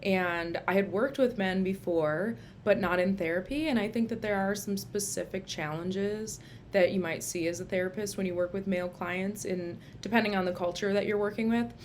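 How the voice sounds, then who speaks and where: A woman's voice: medium pitch, moderate pace, a little hiss or hum. A woman with a Minnesota accent, a therapist talking casually and informally in a home video. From Minnesota, USA. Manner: chatty and informal. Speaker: licensed social worker and therapist. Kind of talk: casual video book review. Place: home room used for telehealth sessions.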